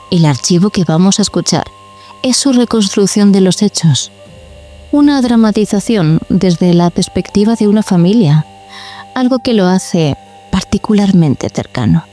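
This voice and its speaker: A solitary, enigmatic archivist, played by a woman catalogues eerie tapes in an audio drama. Her voice is soft-edged and dark, with a solemn, measured delivery. Quiet music runs underneath. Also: mid-range voice, natural voice. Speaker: host. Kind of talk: scripted audio-drama narration.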